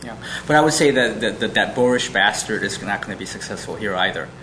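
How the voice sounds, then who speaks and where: A man's voice: fairly low pitch, expressive, quick pace, bright, forceful tone, a little hiss or hum, rough recording. A man speaking on a business panel who often repeats words as he starts sentences. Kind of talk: panel discussion.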